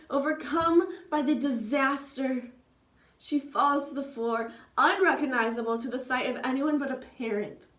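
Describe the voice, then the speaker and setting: Young woman, high voice, reads tragedy with loud urgent expression; echoey, distant sound. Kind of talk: dramatic reading of a Greek tragedy. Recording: echoey room, distant mic.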